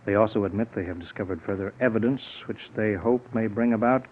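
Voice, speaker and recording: An American actor in a 1940s-style radio drama playing a cold, smug invisible man turned killer, smooth-talking, calculated and menacing. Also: lowish voice, fast, lightly acted. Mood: cool, scoffing. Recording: tinny, noisy vintage radio recording.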